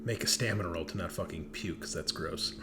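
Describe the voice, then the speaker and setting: A man's voice: low voice, a little fast, very noisy. A man narrating a tabletop roleplaying game as game master in a conversational, casually sweary way.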